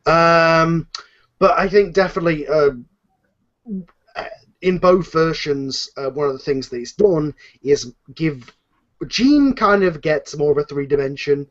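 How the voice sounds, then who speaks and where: A man's voice: on the high side, a little slow. A man casually hosting a panel Q&A, talking in an informal, rambling way. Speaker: host and series creator. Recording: dull lo-fi online call audio.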